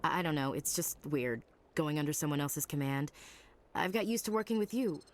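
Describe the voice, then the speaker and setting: Woman acting a young female soldier, low voice, blunt, cheeky, wry, video game drama, faint ambience. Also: brisk, slight background noise, natural voice. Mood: awkward concern.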